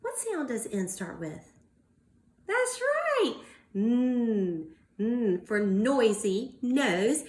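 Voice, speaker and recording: Woman, preschool read-aloud teacher: high swooping pitch, careful exaggerated words, question-and-praise delivery. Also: echoey room. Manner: animated, encouraging, exaggerated enunciation. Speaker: early-years teacher.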